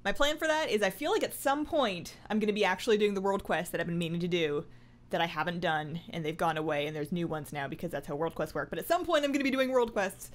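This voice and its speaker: A woman chatting casually to camera as a gaming vlogger at her desk, with playful asides and self-interruptions. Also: fairly low pitch. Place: indoors at a home desk.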